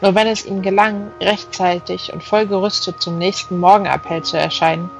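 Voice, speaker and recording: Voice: mid-range voice, steady tone, moderate pace, vocal fry, soft background music, low-quality recording. A reader giving a scripted literary narration of a novel. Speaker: audiobook reader.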